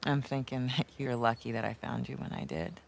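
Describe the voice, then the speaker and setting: Dry, creaky-voiced tough woman adventurer. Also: extremely low pitch, fairly even intonation, fairly quick, a little hiss or hum. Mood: teasing. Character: tough, wry, caring adult woman adventurer. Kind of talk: naturalistic cinematic game acting.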